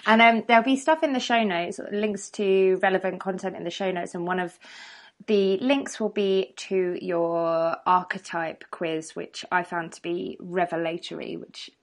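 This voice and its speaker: A woman hosting a podcast, chatty and quick to laugh. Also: lowish voice, fairly even intonation. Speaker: podcast host. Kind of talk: casual interview chat.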